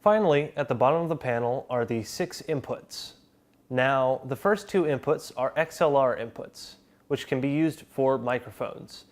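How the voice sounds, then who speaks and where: A young adult man presenting an instructional tutorial, explaining step by step in a measured way. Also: mid-range voice. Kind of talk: instructional narration of an equipment tutorial.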